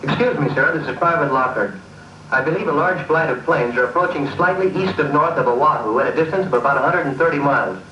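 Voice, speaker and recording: An actor playing an earnest young army private reporting urgently to a superior in 1940s film style. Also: male voice, a little high, fast, sharp tone, a little hiss or hum. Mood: urgent, respectful. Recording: roomy, distant, muffled old film soundtrack.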